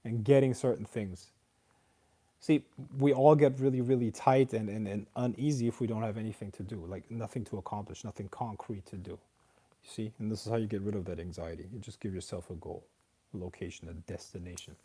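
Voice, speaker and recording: A man's voice: fairly low pitch, fairly even intonation, fairly quick, dark tone, slightly rough. A man hosting a casual livestream Q&A, reading viewer questions aloud and then answering them in an emphatic, lecturing way. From outside America.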